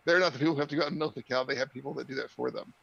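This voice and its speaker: Creaky, bright-voiced male game master. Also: mid-range voice, rapid-fire, dry recording, lo-fi sound. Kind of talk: improvised tabletop game narration and chat.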